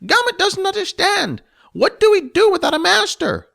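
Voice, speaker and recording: A man voicing an anxious male goblin leader who talks about himself in the third person, shrill and insistent. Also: wildly swooping pitch, moderate pace, creaky, sharp tone, heavily acted. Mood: shrill alarmed.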